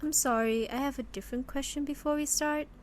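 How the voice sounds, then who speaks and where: A woman playing an excitable, prim head of a crime ring's plant department, polite with a snide edge, in an audio drama. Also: high-pitched, fairly quick, close to an everyday voice. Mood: polite, curious. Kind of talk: scripted audio roleplay acting.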